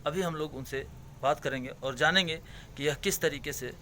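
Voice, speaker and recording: A man, working as a news reporter, asking formal questions with frequent hesitant pauses. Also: fairly high pitch, very noisy. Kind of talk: news interview.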